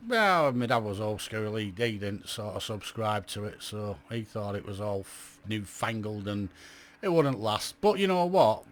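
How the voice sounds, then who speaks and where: A man's voice: lowish voice, expressive, unhurried. A British man in his fifties recalling his years in radio in a chatty, rambling way, full of fillers and self-mocking asides. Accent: British English. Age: 50s. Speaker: radio hobby vlogger. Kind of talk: reminiscing monologue.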